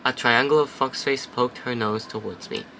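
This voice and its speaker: A man's voice: mid-range voice, fairly even intonation, normal speed, creaky, very noisy. A man casually playing a story game, reading its narration aloud and chatting between lines, now and then swearing. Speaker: let's play host.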